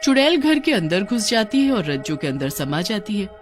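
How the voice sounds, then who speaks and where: Woman narrator, scripted cartoon storytelling, expressive intonation, faint background music. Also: medium pitch, normal speed.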